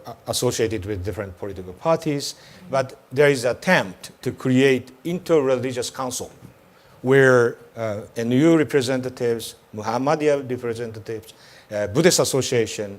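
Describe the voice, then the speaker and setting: Halting man on a religious panel. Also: mid-range voice. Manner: measured, halting, many "uh"s. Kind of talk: panel discussion.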